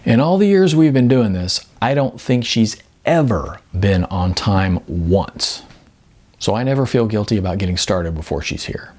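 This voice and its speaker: A man's voice: lowish voice, expressive, normal speed, darkish tone. A man voicing a contented, wistful, overweight male model in an audiobook, chatting casually. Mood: easygoing.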